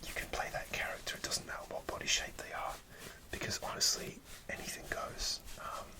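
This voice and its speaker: Man whispering softly into a close mic. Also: fairly quick, low-quality recording. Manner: soft, rambling and hesitant, with many restarts. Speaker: ASMR creator. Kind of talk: whispered ASMR storytelling.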